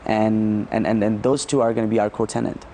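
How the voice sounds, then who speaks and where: A man's voice: on the low side, flat delivery, brisk, dark tone, a little hiss or hum. A man from Nepal answering interview questions as a startup founder, often repeating words and checking in with "right?".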